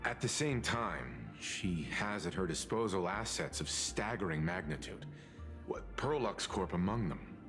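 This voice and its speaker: A man's voice: low voice, expressive, unhurried, over music. A man voicing a serious, shrewd, probing male character in a video game. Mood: probing, skeptical.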